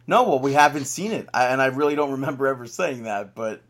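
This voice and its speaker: Podcast guy rambling about wrestling, jokey, says like a lot, average pitch. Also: quick pace, a bit bright. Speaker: podcast co-host. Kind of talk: casual wrestling chat.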